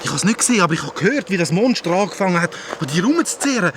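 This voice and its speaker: A man's voice: high voice, big pitch swings, racing. A man with a Swiss German accent playing a shaken, caring young hero in a radio play. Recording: clear ambient noise behind.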